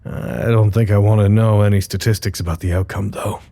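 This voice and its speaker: A man acting in an audio drama as a tired, troubled man who muses to himself in a dry, measured way. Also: lowish voice, steady tone, creaky, warm tone. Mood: dry, weary. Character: tired, troubled man, dryly sceptical and brooding.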